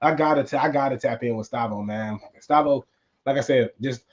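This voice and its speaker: A man hosting a solo wrestling recap podcast, tired with a voice going hoarse, talking in a casual, rambling way that repeats itself. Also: mid-range voice, brisk, highs cut off. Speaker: podcast host.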